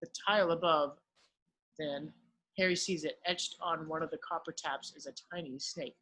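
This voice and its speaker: A man's voice: a little high, steady tone, normal speed, sharp tone, low-quality recording. A man narrating, reading the stage directions of a screenplay in an amateur read-through.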